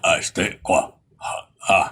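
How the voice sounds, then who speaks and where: A man's voice: slow pace, fairly bright tone. A man playing a reluctant, grumbling fellow in an Ilocano comedy drama, with an Ilocano accent and a hesitant, drawling delivery. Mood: defensive.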